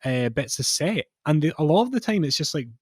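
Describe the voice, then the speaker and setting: A man's voice: a little high, big pitch swings, brisk, slightly rough, no echo, studio quality. A man co-hosting a podcast, chatting casually with stammered restarts and fillers. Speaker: podcast co-host. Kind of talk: casual chat.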